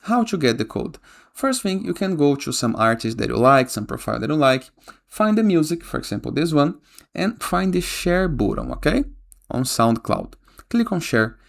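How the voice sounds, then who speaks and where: A man hosting a casual software tutorial voice-over, giving step-by-step instructions and often checking in with a short questioning tag. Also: fairly high pitch, very animated, moderate pace, vocal fry. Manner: frequently tags instructions with a questioning "okay?". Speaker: tutorial host. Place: voice-over for a screen recording.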